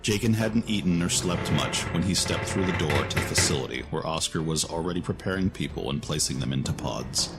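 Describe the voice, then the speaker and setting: Very deep-voiced man narrating a story. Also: brisk, hardly any pauses. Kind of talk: scripted dramatic story narration. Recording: music behind the voice.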